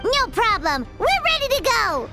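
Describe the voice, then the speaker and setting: Very high, childlike little dream creature. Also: female voice, big pitch swings, normal speed, loud music behind the voice, heavily acted. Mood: eager. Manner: childlike, chirpy. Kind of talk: video game creature acting.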